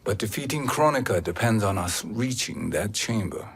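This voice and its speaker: A man voicing a scheming, silky-tongued older sorcerer in a video game cutscene, with measured, smug, formal persuasion. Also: fairly low pitch, animated, husky. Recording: game dialogue over faint music.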